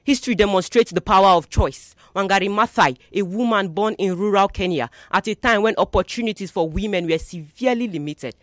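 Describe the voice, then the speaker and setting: A young student debater with a Nigerian accent delivers a rehearsed, declamatory speech in deliberate, rhetorical phrases. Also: mid-range voice, no echo, studio quality.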